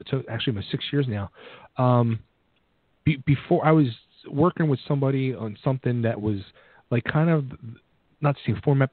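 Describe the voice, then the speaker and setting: A man hosting a radio interview talks with eager enthusiasm, his pitch swinging widely. His voice is slightly husky. It comes through dry and narrow, like a phone line. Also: dry recording. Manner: enthusiastic, animated storytelling. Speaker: radio show host. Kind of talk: casual interview chat.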